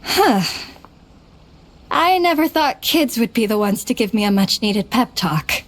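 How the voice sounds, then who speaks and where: A woman's voice: a little high, animated, a little slow. Hesitant, self-doubting young woman. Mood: grateful. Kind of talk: video game voice acting.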